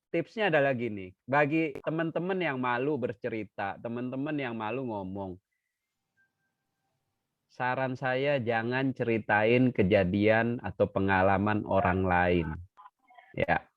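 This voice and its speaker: Guy teaching a zoom class, jokey and lively, dry dull call audio. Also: medium pitch, measured pace, dry recording. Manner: lively, joking, acts out quoted dialogue. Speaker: trainer. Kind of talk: online seminar talk. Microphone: video call.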